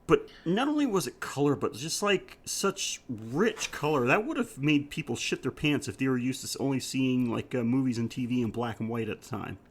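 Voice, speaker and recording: A man speaks as the host of a casual film review podcast. He is enthusiastic and rambles along conversationally, with a voice of medium pitch. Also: expressive, normal speed, hardly any pauses, breathy edge.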